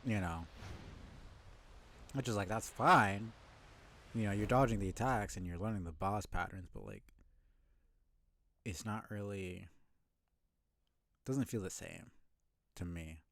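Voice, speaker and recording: A man with a low voice giving casual, informal live commentary as a video game streamer, thinking out loud. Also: unhurried, vocal fry, long pauses, no echo. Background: game music behind the voice.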